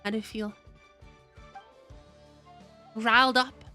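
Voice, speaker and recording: A woman game streamer with a very bright, fairly high voice, slightly worn by a cold. She chats casually to her viewers as she plays, repeating phrases while she thinks aloud. Game music plays behind her. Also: steady tone, slow. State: getting over a cold, voice wearing out. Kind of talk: casual live gameplay commentary to chat.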